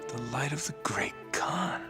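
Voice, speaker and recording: An actor playing a casual, sarcastic treasure-hunting adventurer in a cinematic video game, with music behind him. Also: male voice, mid-range voice, lively intonation, unhurried, creaky. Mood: intrigued. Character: wisecracking, improvising adventurer and treasure hunter, adult man. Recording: game cutscene with background music.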